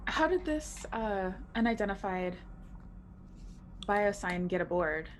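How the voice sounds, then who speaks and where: A woman's voice: mid-range voice, expressive, takes it slowly, a little hiss or hum. A woman playing a tabletop roleplaying game, asking questions in a casual, conversational way.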